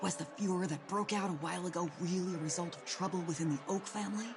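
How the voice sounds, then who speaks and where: A man's voice: high voice, fast talker. An actor playing a curious, polite junior patrolman in a video game. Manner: inquisitive, polite. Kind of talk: video game dialogue acting. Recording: voice over game music. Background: clear background music.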